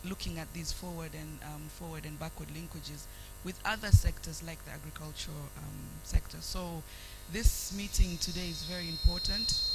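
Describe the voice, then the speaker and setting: A woman from Tanzania with a Tanzanian accent, giving an earnest, measured talk as a panelist at a conference. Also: lowish voice, background noise. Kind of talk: panel presentation.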